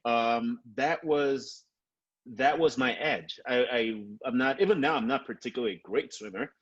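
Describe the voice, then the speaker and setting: A man with a Nigerian accent hosting a casual interview on a video call. He talks in a relaxed way and often repeats a word while he gathers his thoughts. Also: medium pitch, moderate pace, slightly rough, highs cut off. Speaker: podcast host.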